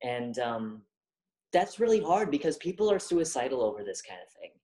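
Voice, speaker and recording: A young woman with a deep voice from testosterone, speaking as a guest in a reflective, halting interview conversation. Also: very animated, warm tone, roomy sound. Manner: halting, thoughtful, many fillers and restarts.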